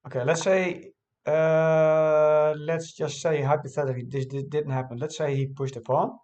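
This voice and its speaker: A man's voice: fairly high pitch, level tone, normal speed, darkish tone. A man who is not a native English speaker, casually thinking aloud as he reviews his own game, hesitant and often correcting himself.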